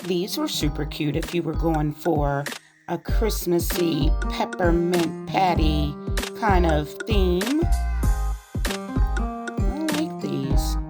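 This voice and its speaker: A woman with a raspy voice, chatting casually to her viewers in a shopping vlog from inside a store. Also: lowish voice, lively intonation, slow pace. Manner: friendly chatty address to viewers. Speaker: vlogger. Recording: loud background music.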